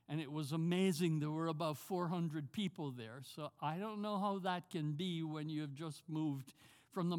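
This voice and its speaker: Older jamaican pastor, slow sermon, repeats his points, long reverb. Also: sits high. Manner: emphatic, repeats key words.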